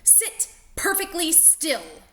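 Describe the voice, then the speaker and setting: A woman's voice: extremely high pitch, very animated, slow, sharp tone, pitched above the performer's own voice, exaggerated voice. A woman voicing a nasty, strict substitute teacher in a storybook, snapping out clipped commands. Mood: strict, threatening. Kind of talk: storybook character voice.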